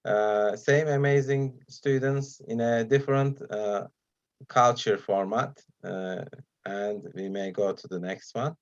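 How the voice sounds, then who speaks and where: A man with a fairly low voice, Turkish his first language, speaks as the guest of an online talk. He moves slowly through his points, stopping often on fillers. The sound is dull and muffled, as over a video call. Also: steady tone. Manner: hesitant, many pauses and fillers.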